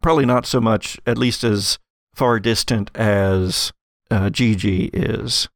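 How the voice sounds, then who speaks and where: Gravelly male game master. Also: on the low side, fairly even intonation, slow pace, no room sound, clean studio sound. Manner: conversational, improvising as narrator. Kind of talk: narrating an actual-play tabletop game.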